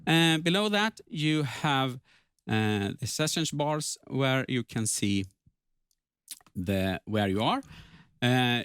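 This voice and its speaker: A man's voice: a little high, a bit bright, dry recording. A man moderating a live online event and explaining things informally to viewers.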